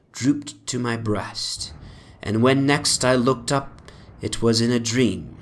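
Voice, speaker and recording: Slow, low-voiced man reading horror dramatically. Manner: theatrical, archaic phrasing, brooding and anguished first-person delivery. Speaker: dramatic reader of a horror story. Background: soundscape rumble.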